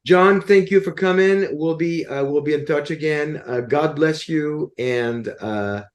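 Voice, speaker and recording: A man hosting his show, talking in a halting, casual way with many restarts and repeated words. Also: fairly high pitch. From Dallas area. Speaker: show host. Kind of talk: casual conversation.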